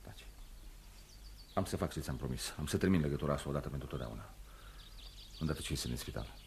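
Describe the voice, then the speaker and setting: A man's voice: a bass voice, lively intonation, a little hiss or hum. An actor playing a proud, determined young man in a Romanian radio play, with a firm, restrained intensity. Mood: terse, grave. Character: proud, determined young man, possessive lover and ambitious employee. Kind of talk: Romanian radio theatre acting.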